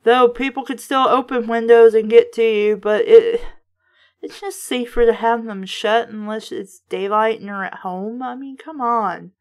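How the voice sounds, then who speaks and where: A woman with a slightly high voice gives casual, critical commentary as the host of a book show. She is blunt and exasperated, and she swears freely while making her points. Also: steady tone, measured pace.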